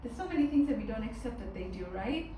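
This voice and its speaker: A woman from Tanzania leading a group discussion, talking in a loud, expressive way. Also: on the high side, quick pace, noisy background. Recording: echoey room, distant mic.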